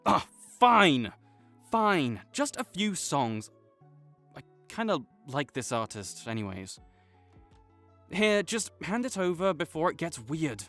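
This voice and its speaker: A man's voice: on the high side, sing-song, slow pace, a bit bright, soft background music, heavily acted. A young man playing a prickly male academic rival who is grudgingly kind, talking teasingly and defensively to a silent listener in an audio roleplay. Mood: bickering.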